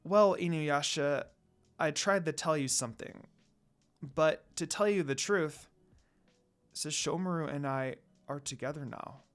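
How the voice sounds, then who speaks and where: A man voicing a gentle but outspoken young woman in a story reading, with a soft, earnest manner. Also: fairly high pitch, measured pace, faint music behind. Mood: apologetic, firm.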